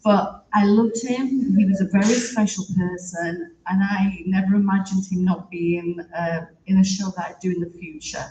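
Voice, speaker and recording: A man with a British accent giving a halting, reflective tribute on a theater stage. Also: sits high, steady tone, dull audio. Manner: halting, warm and reflective, with soft laughs. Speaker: eulogy speaker. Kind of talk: heartfelt spoken tribute. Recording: echoey room from a distance.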